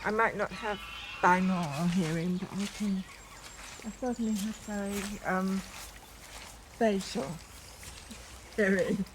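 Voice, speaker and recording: A woman speaking reflectively and haltingly, with pauses, as in a poetic audio documentary. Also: mid-range voice, fairly even intonation, background noise. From northern England. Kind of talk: reflective, unscripted documentary talk with poetic passages.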